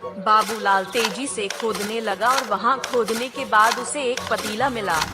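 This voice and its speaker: Higher-pitched female story narrator over music. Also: a little slow. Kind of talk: scripted children's story narration.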